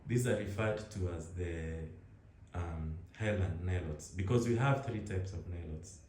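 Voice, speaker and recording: A young man with a Kenyan accent talks in a relaxed, rambling way, often restarting his words. His voice sits low and dark. The room has a strong echo. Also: measured pace, a little hiss or hum. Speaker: interviewee. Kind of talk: casual conversation.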